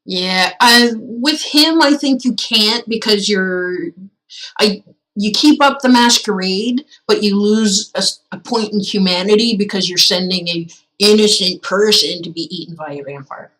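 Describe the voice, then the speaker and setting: A woman's voice: mid-range voice, a little slow, fairly bright tone, poor audio. A woman streaming a game with casual, teasing, irreverent commentary, chatting as she plays.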